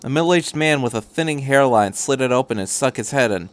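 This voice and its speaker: A man's voice: mid-range voice, lively intonation, fairly quick. A man reading a piece of fan fiction aloud as a scripted story narration.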